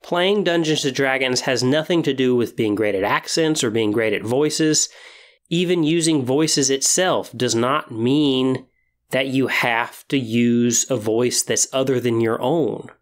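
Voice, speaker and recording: A man hosting a podcast monologue, explaining and giving tips in a measured way with emphatic phrasing. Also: mid-range voice. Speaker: podcast host.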